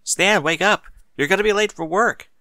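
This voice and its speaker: A man's voice: high voice, normal speed, bright, forceful tone, no echo, studio quality, voice pushed up. A man voicing a flustered, bossy married mother who exclaims and nags in a storybook reading. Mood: urgent, scolding. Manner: exclaiming, nagging.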